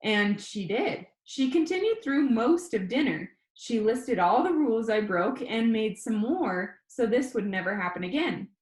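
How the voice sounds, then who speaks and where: A woman reading a children's novel aloud like a teacher, in an informal, expressive way. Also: on the high side, a little slow, few pauses. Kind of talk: storybook narration read aloud. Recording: echoey room.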